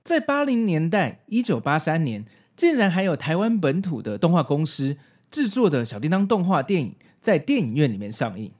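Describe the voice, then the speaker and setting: Podcast host: man, Taiwanese Mandarin accent, slightly high voice, highly expressive intonation, scripted narration. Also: normal speed.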